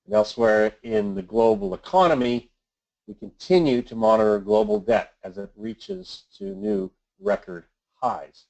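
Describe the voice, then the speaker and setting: A man reads scripted market commentary as an investment adviser on a webinar, in a measured, formal, businesslike manner. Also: medium pitch, big pitch swings, creaky, a little mellow. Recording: dull lo-fi conference call audio.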